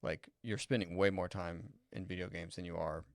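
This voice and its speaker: A man's voice: low voice, steady tone, moderate pace, no echo, studio quality. A man co-hosting a podcast in casual chat, agreeable and explaining his points at length. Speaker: podcast co-host.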